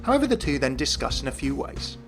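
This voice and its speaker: Fast-talking man narrating game lore. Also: mid-range voice, big pitch swings. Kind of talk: scripted commentary narration. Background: faint music.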